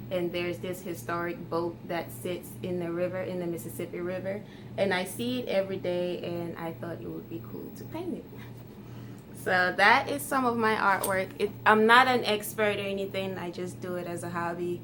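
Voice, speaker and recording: A woman's voice: lowish voice, steady tone, moderate pace, background noise. Ghanaian-born young woman chatting to camera. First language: Twi. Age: 20s. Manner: friendly, chatty, light laughs. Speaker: YouTuber. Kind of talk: casual talk to camera.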